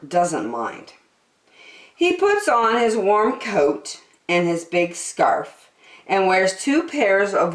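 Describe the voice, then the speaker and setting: A woman reading a children's story aloud expressively, with deliberate, dramatic phrasing. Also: lowish voice, roomy sound.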